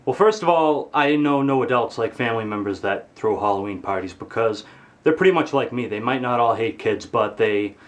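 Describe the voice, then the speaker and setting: A teenage boy vents straight into a webcam from his bedroom, playing up his anger for laughs. His voice sits at a middle pitch but leaps high and loud when he gets indignant, then drops to a low grumble. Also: expressive, brisk. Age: teens. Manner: exaggerated angry ranting, swearing, pitch jumping high when outraged. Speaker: vlogger. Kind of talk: comedic rant to camera. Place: bedroom, indoors.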